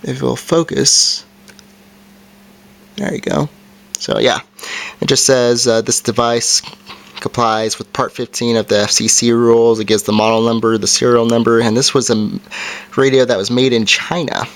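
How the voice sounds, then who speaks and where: Regular guy doing a casual hands-on review, medium pitched voice, explains stuff step by step. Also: steady tone, moderate pace. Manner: plain, informal step-by-step explaining. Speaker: amateur video reviewer. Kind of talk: casual product review and demonstration.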